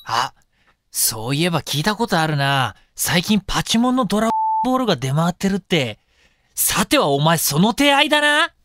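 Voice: medium pitch, big pitch swings, noisy background, natural voice. A performer playing a cheeky, incredulous young man with slangy, exclamatory speech in a comedic voice drama. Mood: cheeky, curious. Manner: slangy, quick-reacting, exclamatory.

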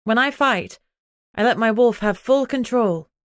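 A woman voicing a brisk, resolute female alpha werewolf in an audiobook, firm and instructing. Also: a little high, animated, no room sound, studio quality, pitched above the performer's own voice. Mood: rallying, urgent.

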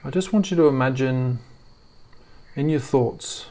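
A man leading a guided meditation in a soft, soothing, gently reassuring way. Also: mid-range voice, measured pace.

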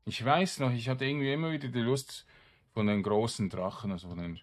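A man's voice: mid-range voice, brisk. A man giving casual let's-play commentary, grumbling in exasperation and muttering to himself as he plays. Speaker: video game streamer.

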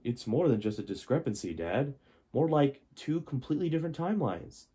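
A man's voice: mid-range voice, very animated, brisk, dark tone, slightly rough. A young man reading aloud as an adult son, a historian explaining himself earnestly in a storybook voice. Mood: earnest confession. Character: adult son from alternate timeline, historian, confessional. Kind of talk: amateur audiobook-style reading.

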